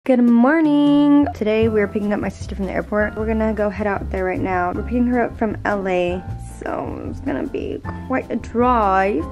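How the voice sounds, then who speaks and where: A young woman in her twenties chatting casually through her day as a lifestyle vlogger, relaxed and filling her talk with "like". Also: mid-range voice, moderate pace, hardly any pauses, highs cut off. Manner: chatty, relaxed, uses "like" often. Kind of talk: casual vlog narration. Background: soft background music.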